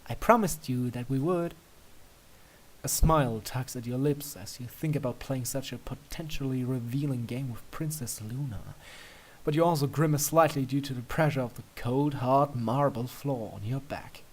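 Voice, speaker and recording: A man with a medium-pitched voice reads fiction aloud. His delivery is scripted storytelling, addressed to the listener in the second person. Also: normal speed, darkish tone, rough recording. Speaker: fan fiction reader.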